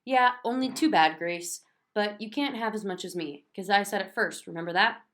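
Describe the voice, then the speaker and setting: A woman voicing an excitable, stubborn, bossy young schoolgirl in a children's storybook, with childish grammar and emphatic delivery. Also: medium pitch, moderate pace, natural voice. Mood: boastful.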